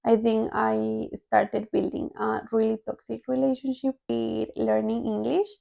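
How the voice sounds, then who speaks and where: Colombian woman with a very creaky voice. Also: on the high side, little pitch movement, unhurried, dark tone. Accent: Colombian Spanish. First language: Spanish. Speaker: language-learning vlogger. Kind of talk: casual personal storytelling to viewers.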